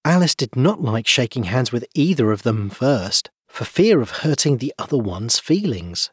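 Male storybook reader, slightly rough tone, animated pitch, dry recording. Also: normal speed, no room sound. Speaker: volunteer audiobook reader. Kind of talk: expressive storybook narration.

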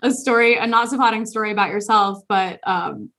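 A woman's voice: mid-range voice, brisk, fairly bright tone. Earnest young woman chatting on a podcast. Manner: earnest, many fillers and restarts. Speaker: podcast host. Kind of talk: casual conversation.

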